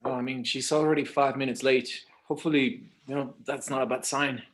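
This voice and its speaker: A man plays an anxious guy on edge before a date. His mid-range voice is hesitant and awkward, with halting drama dialogue. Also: medium pitch, fairly even intonation, lightly acted. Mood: awkward nerves. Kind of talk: screenplay table read.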